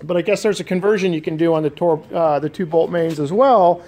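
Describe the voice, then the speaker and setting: A man's voice: on the high side, a little fast, darkish tone. An American man giving a casual, unscripted walkthrough as a DIY restorer, hesitant and thinking aloud. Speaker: DIY boat restorer and vlogger. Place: garage with concrete floor. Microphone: handheld camera, operator behind it.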